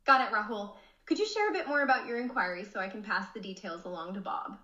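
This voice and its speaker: Bright synthetic female receptionist, echoey and dull. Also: mid-range voice, animated, quick pace. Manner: polite, helpful, scripted. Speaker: AI phone receptionist. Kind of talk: customer service phone call. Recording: dull, echoey playback of AI call.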